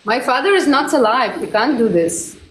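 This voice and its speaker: A woman from Ukraine chatting in English with a Ukrainian accent, her voice a touch high. She sounds hesitant and keeps asking for things to be repeated. The room is echoey and faint music plays behind her. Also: lively intonation, moderate pace, a little hiss or hum. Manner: hesitant, asks often for words to be repeated. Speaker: live-stream guest. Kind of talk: casual chat in a second language.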